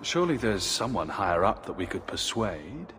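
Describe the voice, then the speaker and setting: An actor playing a young refugee hero in a video game, composed, diplomatic and dryly wry. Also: male voice, fairly low pitch, big pitch swings, normal speed, a bit bright, a little hiss or hum. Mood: persuasive.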